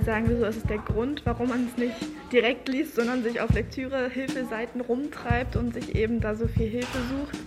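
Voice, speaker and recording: A young woman, a high school student, chatting casually and matter-of-factly in colloquial speech. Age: young adult. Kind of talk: casual interview chat.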